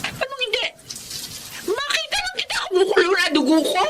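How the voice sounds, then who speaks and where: A woman's voice: very high-pitched, very animated, a little fast, heavy background noise. A woman playing a harsh, stubborn middle-aged mother in a Filipino radio drama, scolding and scornful in manner. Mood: scornful, angry. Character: harsh, stubborn older mother who despises her daughter's suitor.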